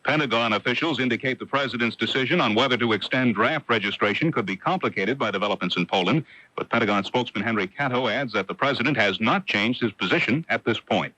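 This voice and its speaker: A man's voice: medium pitch, quick pace, pushed and bright, no room sound, rough recording. An American man reading a scripted news report as a radio reporter, taped from an old broadcast. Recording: 1980s AM radio broadcast tape.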